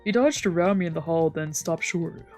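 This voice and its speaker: A woman's voice: low-pitched, normal speed, faint music behind. Woman streamer narrating a visual novel. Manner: steady narrative reading. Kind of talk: reading a visual novel aloud.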